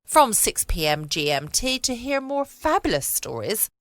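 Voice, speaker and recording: A woman's voice: mid-range voice, big pitch swings, moderate pace, a bit bright, no echo, studio quality. A woman hosting a bedtime podcast from home, speaking in a scripted outro style. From England. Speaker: podcast host. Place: home, dry close recording.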